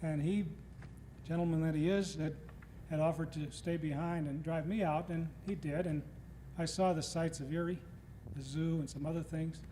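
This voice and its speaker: A man's voice: fairly high pitch, fairly even intonation, normal speed, dark tone. A middle-aged man from Tennessee giving a humorous lecture, telling stories and acting out what others said. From Nashville, Tennessee. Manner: storytelling, acting out quoted speakers. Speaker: guest lecturer. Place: lecture hall before an audience. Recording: reverberant hall, faint noise, old tape.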